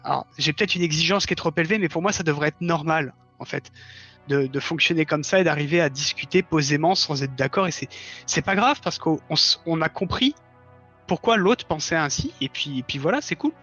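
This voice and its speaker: A man's voice: fairly high pitch, fairly quick, pushed and bright, faint music behind. A man talking as a guest in a casual, reflective conversation, explaining earnestly and rephrasing as he goes. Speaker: interview guest, fiction writer. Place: live online stream. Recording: dull lo-fi voice link.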